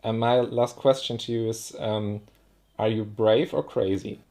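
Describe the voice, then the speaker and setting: A man's voice: lowish voice, steady tone, unhurried, gravelly, mellow. A man, hosting an online seminar, speaking politely with many fillers. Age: 30s. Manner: polite, searching for words with fillers. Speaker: host, moderator.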